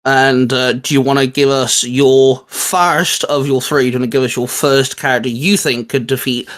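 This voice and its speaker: A man's voice: medium pitch, steady tone, moderate pace, fairly bright tone. A man hosting a casual video discussion, repeating and stressing phrases for emphasis. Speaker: host. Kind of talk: casual video chat and intro.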